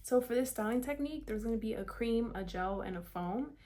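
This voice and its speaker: A woman's voice: on the high side, expressive, brisk, dark tone, slight background noise. A woman in her twenties from South Florida, chatting casually through a hair tutorial with many "like" fillers. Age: 20s. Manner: chatty, upbeat, lots of "like" and "kinda". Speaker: beauty vlogger.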